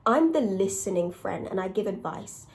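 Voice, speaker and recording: A young woman with a raspy voice, talking candidly and conversationally in a casual solo confessional, with emphatic points. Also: mid-range voice, animated, normal speed. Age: young adult. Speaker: podcast host and content creator.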